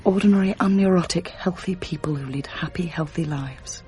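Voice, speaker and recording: An actress playing a restless, unhappy young wife who speaks wistfully and earnestly in a 1980s radio drama. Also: female voice, lowish voice, normal speed, dark tone, soft background music, close to an everyday voice.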